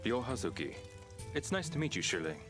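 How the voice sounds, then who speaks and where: A man's voice: medium pitch, sing-song, fairly quick, music in the background, close to an everyday voice. A man playing a stoic, earnest young martial artist in a video game, speaking in a stiff, reserved way. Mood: reserved, polite.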